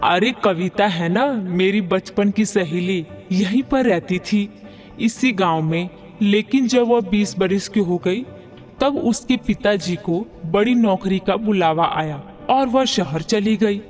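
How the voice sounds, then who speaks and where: Man voicing bossy high-pitched village mother-in-law. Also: takes it slowly, faint music behind. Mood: boastful, eager. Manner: boastful, scolding, rustic village talk. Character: proud, bossy middle-aged village mother-in-law woman. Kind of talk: Hindi cartoon moral story dialogue.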